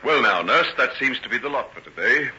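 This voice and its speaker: An actor playing an ardent, tormented, wryly teasing doctor in an old-time radio drama, with theatrical, genteel diction. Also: male voice, medium pitch, lively intonation, brisk, music in the background, lightly acted. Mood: brisk, wry. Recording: dull, faintly noisy vintage radio recording.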